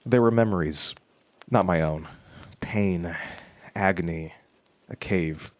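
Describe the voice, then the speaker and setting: An actor playing a haunted, brooding man who narrates his horror story in an audio drama, halting and deliberate. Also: sits low, no echo. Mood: shaken, strained. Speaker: narrator and main character.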